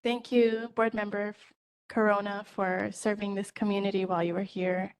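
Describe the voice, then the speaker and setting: A woman's voice: medium pitch, little pitch movement, normal speed, clean tone, poor audio. A woman chairing a public neighborhood meeting, procedural and courteous.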